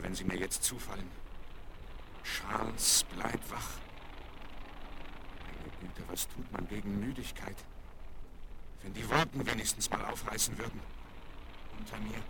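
A man's voice: mid-range voice, gravelly. An actor playing a weary but plucky young pilot who mutters to himself and his plane in a children's radio play. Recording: vinyl rip under loud engine noise. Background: aircraft engine drone.

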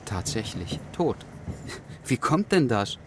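An actor playing a sceptical, grumbling stranded train traveller in a radio play, dry and muttering. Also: male voice, mid-range voice, big pitch swings, takes it slowly. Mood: dry, doubtful. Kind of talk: German radio play dialogue and monologue. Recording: clearly noisy background.

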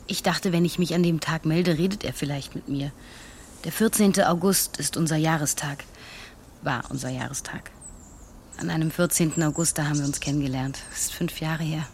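Subdued, grieving girlfriend, low voice. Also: moderate pace, a little hiss or hum. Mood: wistful. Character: grieving woman remembering her lost soldier boyfriend. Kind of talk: naturalistic radio drama acting.

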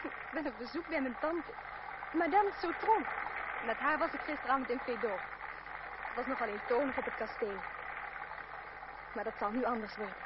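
A woman's voice: sits high, normal speed, long pauses, lightly acted. An actress playing a haughty, teasing young noblewoman in an old radio drama, with a genteel, theatrical delivery. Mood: chatty. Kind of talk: old-fashioned Dutch radio drama acting. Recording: noisy, muffled old radio play recording.

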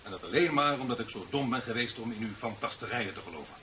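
An actor playing a stern, impatient president in a 1970s radio drama, speaking curtly and formally. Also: male voice, medium pitch, expressive, quick pace, bright, forceful tone. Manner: curt, formal, reproachful. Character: stern, impatient male president, cutting and authoritative. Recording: dull, hissy 1970s radio recording.

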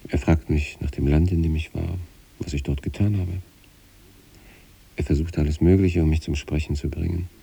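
An actor playing a troubled, detached sanatorium patient who recites letters to his wife in a measured, brooding radio play monologue. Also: extremely low pitch, steady tone, vocal fry, warm tone, breathy edge, heavily acted. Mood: introspective, estranged.

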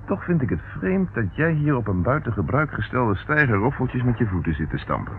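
An actor playing a police inspector in a radio play, probing and teasing but with a tender side. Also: male voice, medium pitch, very animated, a little fast, lightly acted. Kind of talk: radio play acting in Dutch. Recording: muffled, narrow-band, noisy old radio recording.

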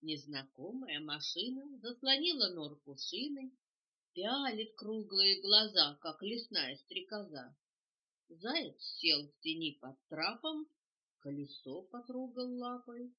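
A woman's voice: medium pitch, very animated, takes it slowly, dull audio. An older woman reading a children's verse tale aloud with theatrical, emphatic phrasing, on a home cassette recording. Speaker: storyteller reading to a grandchild.

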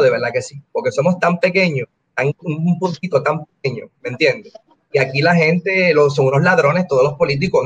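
Puerto Rican comedian guest, middle-aged man, fairly high-pitched, talkative and opinionated. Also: a little hiss or hum. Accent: Puerto Rican Spanish. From Puerto Rico. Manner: chatty, keeps asking "me entiendes?". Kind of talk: casual interview over a call.

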